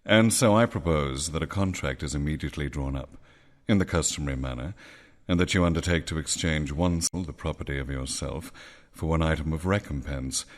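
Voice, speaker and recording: An actor playing a smooth, sinister male devil who coaxes a man into trading his soul, speaking slowly and silkily. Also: rumbling low. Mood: silky menace. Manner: slow, silky, coaxing, menacing. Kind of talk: radio drama acting.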